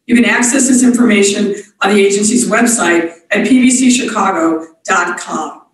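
A woman's voice: mid-range voice, moderate pace. A woman chairing a formal board meeting in a procedural manner, with frequent fillers, in a large wood-panelled office. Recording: echoey room from far off.

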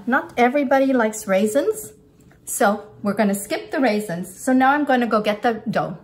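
An older woman with a fairly high voice gives a casual cooking lesson. She talks through each step off the cuff while her hands are busy. There is clear kitchen noise behind her, with dishes and pans. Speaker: cooking instructor. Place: home-style kitchen, indoors at a counter.

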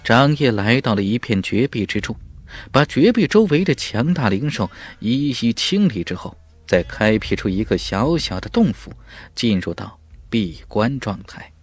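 A man narrating an audiobook in a dramatic, emphatic storytelling style with measured phrasing. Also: medium pitch, big pitch swings.

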